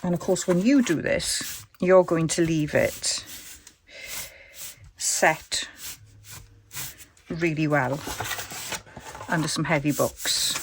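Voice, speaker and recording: A woman with a croaky voice, thinking aloud as she demonstrates a casual craft tutorial. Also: low-pitched, takes it slowly, long pauses, a little hiss or hum. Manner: chatty, thinks aloud while working. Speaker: crafter demonstrating junk journal covers.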